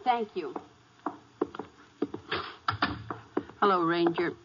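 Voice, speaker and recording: An actress playing a remorseful, loving daughter, speaking in an emotional, tremulous way in a 1950s radio melodrama. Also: female voice, fairly low pitch, moderate pace, very noisy, lightly acted. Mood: subdued. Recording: muffled vintage 1950s radio recording.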